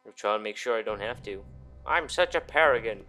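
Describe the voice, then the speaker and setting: A man's voice: on the low side, animated, fairly quick, sharp tone, a little hiss or hum. A man giving casual, sarcastic let's play commentary with plenty of swearing as he plays a video game. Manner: profane, sarcastic. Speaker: gaming video host.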